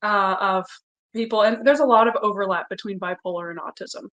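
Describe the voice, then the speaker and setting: A woman's voice: medium pitch, a little fast, fairly bright tone. A young woman singer as an interview guest, talking openly in casual chat and laughing often. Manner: open, chatty, laughs often.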